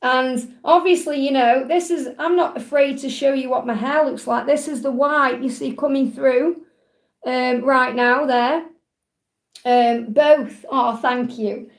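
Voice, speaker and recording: A woman's voice: high voice, steady tone, a little slow. A woman in her forties chatting casually and upbeat as host of a live video, reading out viewer comments as she goes. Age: 40s. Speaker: livestream host. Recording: echoey room.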